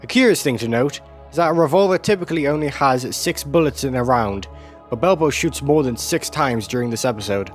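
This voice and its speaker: A man reads scripted video essay narration at a middle pitch. He describes scenes like a storyteller, with music playing faintly behind him. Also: animated, fairly quick. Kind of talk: scripted narration, recapping an animated series.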